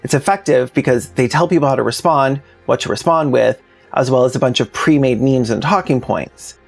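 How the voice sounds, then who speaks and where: A man's voice: medium pitch, brisk, vocal fry, soft background music. A man narrating a scripted commentary video in a plainspoken, informal way with sardonic asides. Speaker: video essayist, host.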